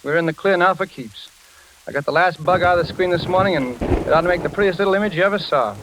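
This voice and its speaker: An actor playing a brisk, slangy engineer who frets over his friend in a 1940s radio drama. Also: high voice, lightly acted. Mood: brisk, cheerful. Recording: noisy, crackling vintage radio recording.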